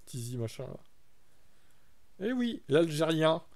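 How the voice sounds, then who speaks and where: A man's voice: fairly high pitch, very animated, takes it slowly. Chatty young French man streaming. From France. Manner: chatty, reacts loudly to chat, reads aloud. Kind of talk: casual live-stream chat.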